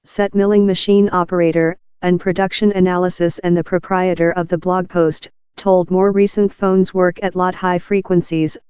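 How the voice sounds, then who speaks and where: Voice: medium pitch, level tone, normal speed, few pauses, a little mellow, clean tone, no room sound. A narrator reading a scripted article aloud in an impersonal, uninflected way.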